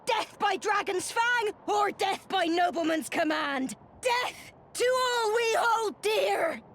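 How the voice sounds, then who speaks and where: A woman's voice: extremely high pitch, expressive, slow, a bit bright, a little hiss or hum, heavily acted. A woman playing a fervent, vengeful rebel agitator in a video game, declaiming with theatrical passion. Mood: furious defiance. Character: fervent vengeful female rebel agitator rallying commoners against nobles. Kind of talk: dramatic video game voice acting, impassioned oratory.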